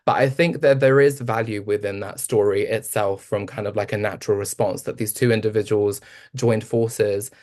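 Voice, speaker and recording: Flat-voiced man with halting delivery. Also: lowish voice. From Albanian family from Kosovo. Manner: halting, many fillers and restarts. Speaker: panelist. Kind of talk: panel discussion talk.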